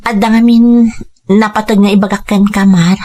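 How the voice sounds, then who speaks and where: A woman playing an ambitious young woman dreaming of a rich suitor in a Filipino audio drama, with breathy asides and hesitant confessions. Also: medium pitch, fairly even intonation, normal speed, mellow, natural voice. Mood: serious, resolute.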